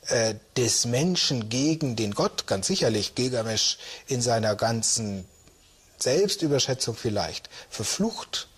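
A man's voice: medium pitch, animated, unhurried, husky. A man speaking unscripted as an expert interviewee, explaining hesitantly as he searches for words.

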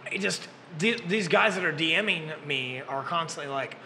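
A man's voice: a little high, expressive, normal speed, pushed and bright, big-room sound, slight background noise. A man in his early thirties, a podcast host who books music at a brewery, chatting loosely and joking with some profanity. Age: 30s. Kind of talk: casual chat. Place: brewery taproom. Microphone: desk mic on boom arm.

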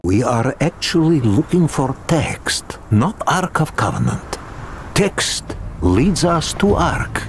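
Casting: bookish, solemnly sincere cleric for a game cutscene, article-dropping English, lively pitch. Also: male voice, slow pace, slight background noise, exaggerated voice. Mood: earnest, explanatory. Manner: earnest, drops articles in broken English. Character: earnest scholarly male priest, easily bewildered. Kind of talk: video game cutscene acting.

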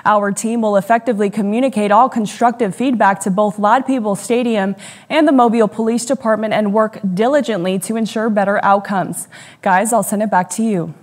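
A woman's voice: mid-range voice, fairly even intonation, fairly quick. A young adult woman delivering a scripted television news report in a studio.

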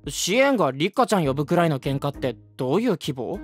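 Audio drama: cheeky teenage schoolboy, casual and teasing, lively intonation, light music behind. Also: male voice, on the high side, quick pace. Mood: flippant. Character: cheeky, flippant male high school student.

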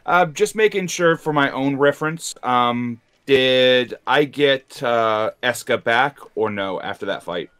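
Mid-pitched man playing a tabletop game. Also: measured pace, few pauses, fairly bright tone, clean tone. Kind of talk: casual in-game chat.

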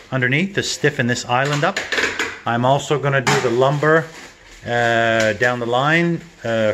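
A man's voice: mid-range voice, noisy background. Rambling man filming his kitchen renovation. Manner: rambling, many fillers and restarts. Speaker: home renovation vlogger. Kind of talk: casual walkthrough narration. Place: kitchen under renovation, indoors. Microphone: handheld phone camera.

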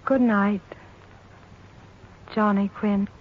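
An actress playing a tender, grieving young expectant mother in a 1940s radio drama, speaking in a hushed, solemn, deliberate way. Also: mid-range voice, fairly even intonation, very noisy. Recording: muffled, tinny 1940s radio recording. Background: loud orchestral music.

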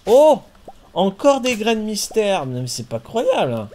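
Male streamer, chatty and playful, cusses lightly, relaxed gaming talk. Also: sits high, a little slow, slight background noise. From France. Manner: chatty, jokey, playful swearing. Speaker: streamer and host. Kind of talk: casual chat while playing a farming game.

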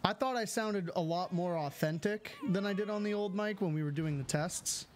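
A man with a fairly high voice chatting his way through a live game stream at home. He reads chat messages aloud and answers them in an easygoing way, heard on a close studio mic. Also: moderate pace, a little hiss or hum. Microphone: studio mic with filters.

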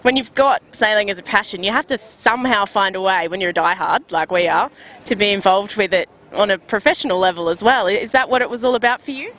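A woman's voice: mid-range voice, quick pace, a bit bright. A woman interviewing outdoors at a city marina on a handheld mic, bubbly and upbeat, laughing often. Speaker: sailing interviewer. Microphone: handheld microphone.

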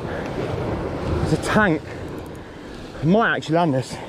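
An excitable man talks himself through fishing at the water's edge, his somewhat high voice jumping into shouts and swearing. Wind and sea noise wash clearly around him outdoors. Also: slow. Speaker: angler filming himself. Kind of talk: live excited commentary while fishing. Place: rocky seashore outdoors. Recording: wind and waves at a shoreline. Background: wind and sea ambience.

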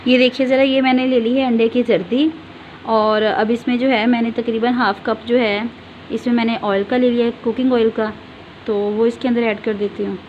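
A woman demonstrates a homemade cake recipe in a patient, instructive way, often telling viewers to look closely. Her voice sits a little high with a flat, even melody. The phone recording sounds a bit dull, with faint ambient noise behind her. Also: moderate pace. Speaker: home cooking channel host. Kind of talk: step-by-step cooking demonstration.

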